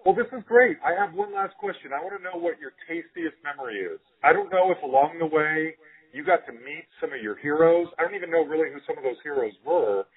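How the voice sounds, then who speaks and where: A man's voice: on the high side, expressive, quick pace, slightly rough. A man hosting a podcast interview in an informal, agreeable, enthusiastic way. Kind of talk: casual interview chat. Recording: narrow phone-like audio.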